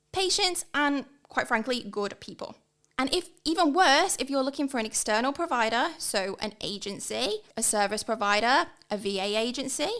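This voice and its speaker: A woman in her thirties with an English accent, giving an energetic, emphatic podcast talk as a guest consultant. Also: a little high. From England. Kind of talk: sponsored podcast monologue.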